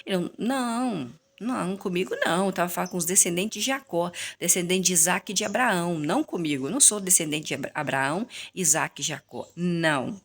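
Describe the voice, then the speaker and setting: A woman's voice: fairly low pitch, a little fast, few pauses. A Brazilian woman teaching the Bible informally, speaking straight to viewers, repeating herself and answering her own questions. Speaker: Bible teacher hosting a recorded reading.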